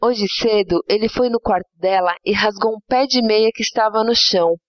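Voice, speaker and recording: A woman's voice: medium pitch, normal speed, no echo, lightly acted. A woman playing a blunt, uneasy housemaid in a dramatic short-story reading, with colloquial, emphatic speech. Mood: blunt, indignant. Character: blunt, uneasy housemaid telling a boy hard truths. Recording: dull lo-fi audio with music underneath.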